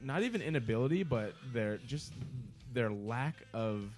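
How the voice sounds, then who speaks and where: A man co-hosting a casual podcast, talking at a middling pitch. He gets animated as he rants, swears freely and acts out the people he argues with. Faint music sits behind him. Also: measured pace. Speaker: podcast co-host. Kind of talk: casual chat.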